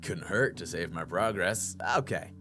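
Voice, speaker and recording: A man's voice: low voice, measured pace, music in the background. A man giving casual, joking and self-mocking commentary as a YouTuber playing a game.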